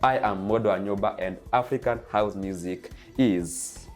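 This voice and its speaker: A young Kenyan man speaks in a low voice with a Kenyan accent. He talks eagerly and loosely, piling up repeated lists and filling his pauses with "uh". Faint music plays quietly beneath him. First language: Kikuyu. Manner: eager, repetitive lists, frequent uh fillers. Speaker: DJ and channel host. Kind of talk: casual vlog monologue.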